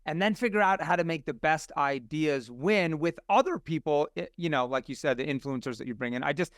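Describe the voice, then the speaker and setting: Guy on a podcast, kinda high clean voice, really earnest, chatty. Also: animated, fairly quick, a bit bright, no room sound, clean studio sound. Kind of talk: casual podcast chat.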